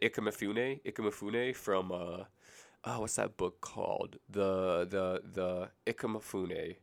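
A man's voice: sits low, creaky, fairly bright tone. A man of about twenty with a stuffy, congested nose, hosting a casual solo podcast from a basement room, rambling through tangents and correcting himself. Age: around 20. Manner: rambling, goes off on tangents, self-correcting, joking sarcasm. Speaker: podcast host.

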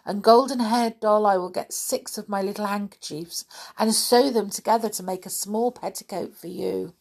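A woman's voice: medium pitch, moderate pace, gravelly, darkish tone, breathy edge. A woman voicing a naughty, exclamatory girl doll in a children's storybook, calling out and pleading. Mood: contrite. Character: naughty girl doll, loud, panicky, later contrite.

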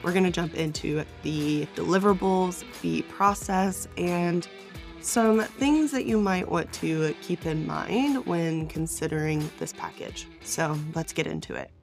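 A woman's voice: fairly low pitch, unhurried, vocal fry, faint music behind. A woman hosting her own business podcast, talking in an upbeat, chatty way. She says she is congested, and her voice sounds stuffy and nasal. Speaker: podcast host and brand designer. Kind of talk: solo business podcast talk.

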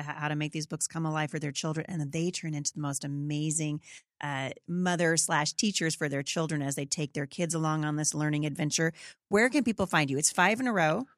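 Low-voiced woman hosting, dry sound. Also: no echo. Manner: affirming, enthusiastic interviewer. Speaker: podcast host. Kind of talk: casual podcast conversation.

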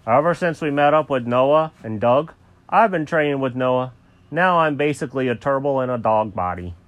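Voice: mid-range voice, animated, normal speed, close to an everyday voice. A storyteller voicing a folksy, good-natured and boastful male dog in a children's story.

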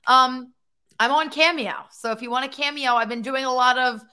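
A young woman co-hosting a podcast, with a voice that always sounds a bit hoarse, chatty and playful. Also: high-pitched, steady tone, moderate pace, bright, forceful tone. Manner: chatty, playful, self-mocking. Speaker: podcast co-host. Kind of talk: casual chat.

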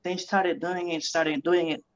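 A man's voice: on the high side, little pitch movement, unhurried. A man from Nepal telling his story as a podcast guest, talking in a casual, rambling way and often repeating words. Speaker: guest investor. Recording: muffled lo-fi audio.

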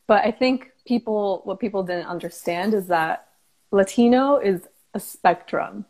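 A woman's voice: mid-range voice, a little slow, a little mellow. A young woman hosting a casual conversation, enthusiastic and chatty as she talks and asks questions. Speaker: host.

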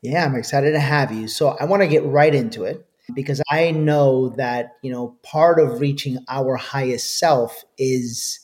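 A man's voice: medium pitch, unhurried, a little mellow. A man hosting a podcast interview, asking long, earnest, rambling questions. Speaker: podcast host.

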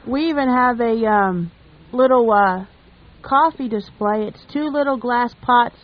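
A woman's voice: a little high, a little slow. A woman reminiscing casually in a chatty, homely storytelling way. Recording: tinny narrow phone-like radio line.

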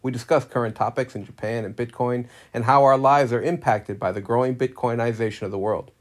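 A man, one of the podcast hosts, reading the scripted show introduction in a steady announcer manner. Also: mid-range voice. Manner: steady read-out announcer delivery. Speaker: podcast host.

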